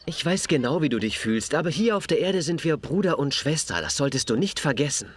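A man's voice: fairly high pitch, lively intonation, brisk, slightly rough. Suave, romantic teenage boy. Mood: admonishing. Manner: earnest, solemn, theatrical. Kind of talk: anime dub acting.

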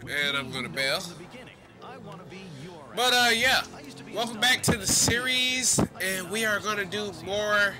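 A young man with a stuffy, congested voice talks through a video game session in a loose, rambling way, dropping the odd curse. His voice sits a little high for a man. Game music plays clearly underneath him. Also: lively intonation, unhurried, pauses often. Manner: loose, rambling, swears casually. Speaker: gaming commentator. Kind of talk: casual gameplay commentary.

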